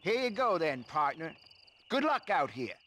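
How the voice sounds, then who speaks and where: An actor playing a weary rural American frontier landowner in a western video game, terse and reluctant. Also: male voice, fairly high pitch, big pitch swings, unhurried, bright, forceful tone, slight background noise, exaggerated voice. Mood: resigned.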